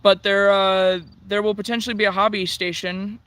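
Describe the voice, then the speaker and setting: An American man giving a casual hobbyist demonstration, thinking aloud in a halting way, outdoors. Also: high-pitched, little pitch movement, pushed and bright, smooth. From United States. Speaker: radio hobbyist presenting. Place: outdoors in the sun.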